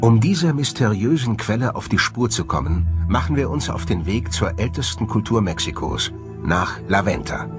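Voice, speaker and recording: A man's voice: fairly low pitch, sing-song, normal speed. A man presenting a documentary with scripted narration. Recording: music bed under the voice. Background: background music.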